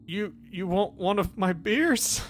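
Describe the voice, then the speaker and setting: A man's voice: high voice, lively intonation, takes it slowly, a little hiss or hum, voice pushed up. A man playing a bitter, injured miner in a tabletop game, grumbling and swearing as he talks. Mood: wary. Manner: grumbling, heavily profane. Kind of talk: in-character tabletop roleplay.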